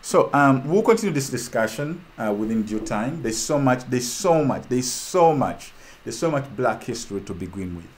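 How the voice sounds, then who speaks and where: A man's voice: mid-range voice, sing-song, normal speed, few pauses. A man from Uganda hosting a casual talk with an online audience. He speaks with fervent, repetitive rhetoric and trails off with ums. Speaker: host.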